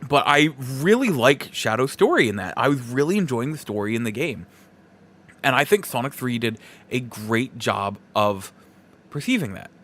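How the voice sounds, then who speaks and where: A man hosting a solo podcast, giving casual commentary in a halting, rambling way and repeating himself for emphasis. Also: medium pitch, animated, fairly bright tone. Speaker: podcast host.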